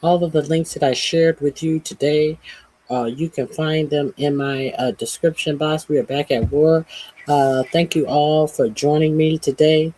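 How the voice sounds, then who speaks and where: Slow, halting male political commentator. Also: fairly high pitch, steady tone, mellow, rough recording. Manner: halting, lots of "uh", exasperated. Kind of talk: monologue commentary.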